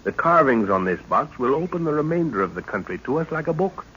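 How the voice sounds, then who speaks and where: A man playing a middle-aged professor and father leading an expedition, measured and authoritative, in old-time radio drama style. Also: fairly high pitch, sing-song, pushed and bright. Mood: explaining. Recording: muffled, noisy old radio recording.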